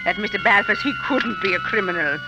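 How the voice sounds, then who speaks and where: A woman's voice: mid-range voice, fast, close to an everyday voice. An actress playing a trusting middle-aged American landlady, folksy and rambling, in 1950s radio drama style. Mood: chatty, protesting. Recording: old radio recording with music.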